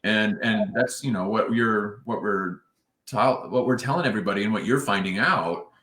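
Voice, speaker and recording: A man's voice: fairly low pitch, normal speed, a bit bright. Hesitant male interviewer, echoey room. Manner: hesitant, many "um" and restarts. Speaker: interviewer and coach. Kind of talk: casual interview.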